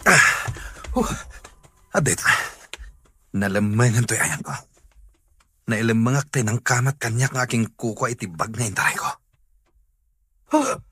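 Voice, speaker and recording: A man playing a flustered fellow in an Ilocano radio comedy-drama, with a husky, rough voice and an Ilocano accent. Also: medium pitch, animated, a little slow, long pauses, sharp tone, heavily acted.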